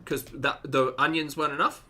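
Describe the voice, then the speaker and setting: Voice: medium pitch, steady tone, fairly quick, noisy background. A reaction commentator talking casually and off the cuff, with an incredulous, amused and sweary manner.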